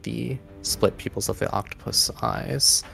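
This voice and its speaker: A man narrating a tabletop game as game master in a dramatic, deliberate style, pausing mid-sentence for suspense. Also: lowish voice, vocal fry, a bit bright, soft background music.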